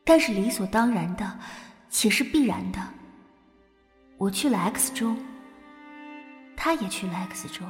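A woman playing a quietly heartbroken, guarded teenage schoolgirl in a modern audio drama, soft-spoken and reflective. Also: medium pitch, expressive, measured pace, pauses often, in a large hall. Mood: wistful, resigned. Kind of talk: modern Chinese audio drama acting with inner monologue. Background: music.

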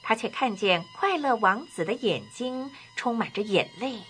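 A woman narrating a scripted children's story in an expressive, deliberate way. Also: a little high. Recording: music behind the narration.

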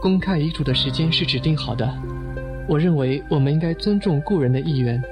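An actor playing a measured, reasonable man who politely corrects others in a Chinese audio detective drama. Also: a little high, natural voice. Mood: firm, polite. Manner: formal, composed, correcting others. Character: measured, reasonable man urging propriety in family inquiry. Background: prominent music.

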